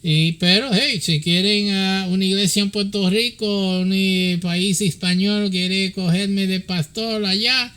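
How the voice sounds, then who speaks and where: Man slowly speaking halting Spanish. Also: high-pitched, steady tone, pushed and bright, smooth. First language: English. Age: middle-aged. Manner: halting Spanish with many fillers; switches between English and Spanish. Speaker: pastor and counselor to pastors. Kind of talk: informal teaching talk.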